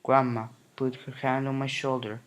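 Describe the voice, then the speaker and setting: A man reading a children's picture book aloud in a gentle, unhurried way. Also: medium pitch, level tone. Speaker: narrator. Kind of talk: storybook reading.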